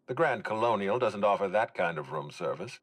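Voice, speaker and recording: A guarded executive in a video game drama. His voice is very low, with lively rises and drops in pitch. He speaks formally and courteously, with a dry, stern edge and measured phrasing. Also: breathy edge. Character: formal, guarded male executive overseeing hotel and orchards.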